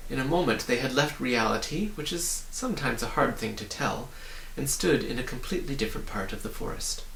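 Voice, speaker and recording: A man's voice: mid-range voice, lively intonation, a little fast, vocal fry, bright, forceful tone. A man reading a children's story aloud as scripted audiobook narration. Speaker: audiobook reader. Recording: roomy, distant, faint hiss.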